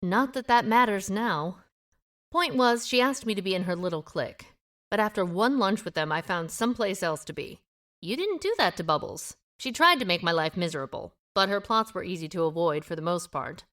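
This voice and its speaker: A woman's voice: medium pitch, lively intonation, brisk, a bit bright, lightly acted. A woman playing a guarded, independent small-town amateur sleuth in an audio drama, with a wry, reserved manner. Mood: reluctant, reflective. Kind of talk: audio drama dialogue acting.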